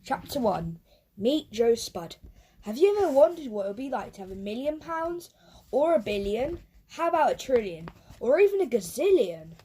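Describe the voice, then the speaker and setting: A woman narrating a children's audiobook in an expressive storytelling way, with the odd stumble over words. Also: on the high side, moderate pace, breathy edge, rough recording. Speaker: audiobook reader.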